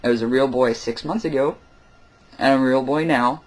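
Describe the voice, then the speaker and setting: A man's voice: medium pitch, normal speed, a little mellow, soft background music, low-quality recording. A young man talking candidly in a personal vlog, late at night at home, rambling with lots of fillers and wry asides. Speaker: vlogger.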